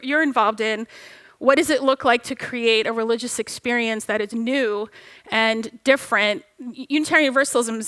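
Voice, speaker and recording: A woman's voice: on the high side, a bit bright, clear, resonant tone. A woman from Egypt whose first language is Arabic, speaking earnestly as a panelist at a conference, with some hesitations. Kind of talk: panel discussion. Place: conference stage.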